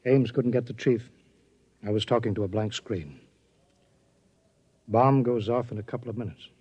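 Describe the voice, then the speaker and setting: A man's voice: mid-range voice, normal speed, a little mellow, slightly rough, dull audio, close to an everyday voice. An actor playing a weary, terse man in command of a test project in a 1950s radio drama. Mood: grim, resigned. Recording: 1950s radio broadcast recording.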